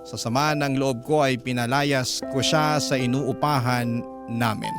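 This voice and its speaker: A man's voice: mid-range voice, steady tone, a little slow. A man with a Filipino accent narrating a listener's story in a dramatic radio storytelling style. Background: prominent background music.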